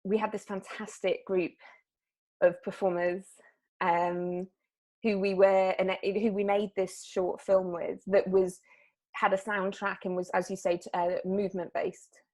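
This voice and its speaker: A woman talks about her work in a loose, conversational way. She stops and restarts often and leans on fillers as she goes. Her voice sits at an ordinary middle pitch. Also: level tone, unhurried, a little mellow. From South Wales. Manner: hesitant, lots of fillers and restarts. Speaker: artist. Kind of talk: casual interview chat.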